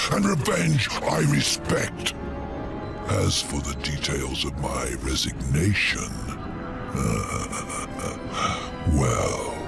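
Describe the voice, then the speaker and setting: An actor playing a proud, sardonic ancient demon lord in a video game, with a theatrical, taunting delivery. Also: male voice, sits low, vocal fry, heavily acted. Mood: wry, knowing. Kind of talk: video game cutscene acting. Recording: music behind the voice.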